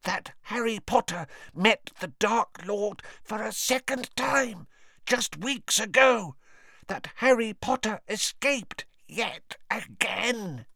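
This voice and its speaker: A man voicing a small, timid and devoted male house-elf who squeaks, pleads and speaks of himself in the third person. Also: expressive, slow, vocal fry, bright, forceful tone, dry recording, exaggerated voice. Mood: fearful. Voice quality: squeaky, breathless, high. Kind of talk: audiobook character voice.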